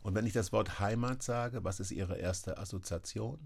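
A man hosting a radio interview, asking measured questions with occasional hesitations. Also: on the low side, creaky, a little mellow. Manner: measured, asks questions with fillers. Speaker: interview host.

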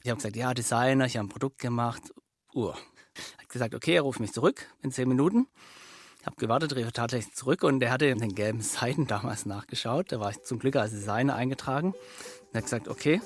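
A middle-aged man with a Swabian accent, a company founder telling his story in an interview, lively and anecdotal. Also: medium pitch, fast, breathy edge, no room sound.

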